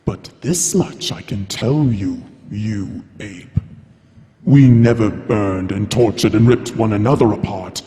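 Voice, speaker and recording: Dark, slow, imperious dragon. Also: male voice, lowish voice. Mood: contemptuous. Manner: menacing, grand, deliberate. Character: cold, imperious dragon king, contemptuous of humans. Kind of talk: live audio drama acting. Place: stage at a convention hall. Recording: live stage recording in reverberant hall.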